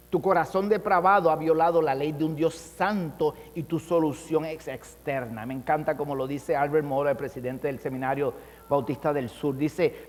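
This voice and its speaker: High-voiced man preaching with swinging emphasis. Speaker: pastor. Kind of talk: sermon. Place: church hall. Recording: long church reverb.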